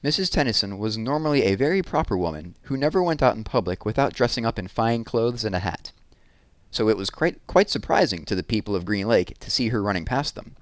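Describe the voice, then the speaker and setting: A man's voice: lowish voice, big pitch swings, fairly quick, dry recording. A man reading a novel aloud in a scripted storytelling narration. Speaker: read-aloud reader.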